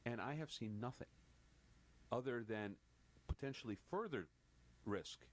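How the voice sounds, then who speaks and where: A man's voice: medium pitch, lively intonation, a little slow. A man in his fifties co-hosting a casual panel talk, often restarting words and ending points with a "right?" tag. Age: 50s. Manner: repetitive restarts, rhetorical "right?" tags. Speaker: podcast co-host.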